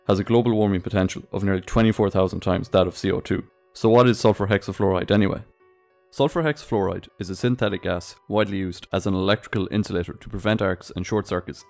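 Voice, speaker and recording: A man reading scripted educational narration in a clear, explanatory way. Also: low-pitched. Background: background music.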